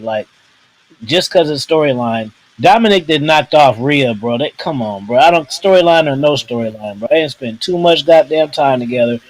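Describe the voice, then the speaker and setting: A man co-hosting a casual wrestling chat, rambling and halting in a laid-back way. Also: fairly high pitch, poor audio. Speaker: podcast co-host.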